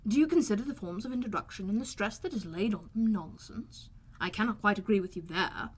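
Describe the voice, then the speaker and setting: A woman acts out a middle-aged father in an audiobook, calm and sardonic. The delivery is measured and wordy, with deadpan teasing. The voice is slightly husky. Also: mid-range voice, natural voice. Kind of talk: audiobook character voice.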